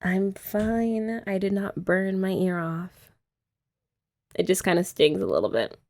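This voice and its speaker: A woman's voice: medium pitch, animated, measured pace, darkish tone. A young woman doing girlfriend roleplay ASMR, chatty and teasing with rambling asides. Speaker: ASMR creator.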